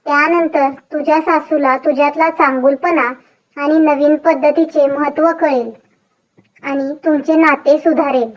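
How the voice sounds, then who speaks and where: Woman voicing a very high-pitched advising young friend. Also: steady tone, unhurried, highs cut off. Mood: encouraging. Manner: advising, explanatory. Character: sensible, encouraging married young woman friend, giving advice. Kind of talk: animated moral story dubbing.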